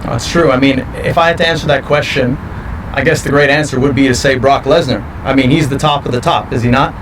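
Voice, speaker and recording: A man's voice: mid-range voice, steady tone, brisk, distant mic, noisy background. A male professional wrestler giving confident, boastful interview answers. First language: not French.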